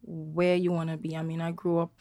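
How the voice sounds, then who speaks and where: A young Trinidadian woman from the countryside who is a singer, talking relaxed and chatty as an interview guest, with many fillers and restarts. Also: sits low, fairly quick, dry recording. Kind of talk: casual interview chat.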